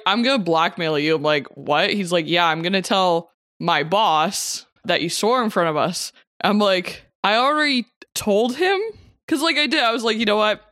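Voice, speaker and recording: A woman co-host telling a story in a wry, deadpan way during a casual podcast chat. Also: mid-range voice, no room sound, studio quality.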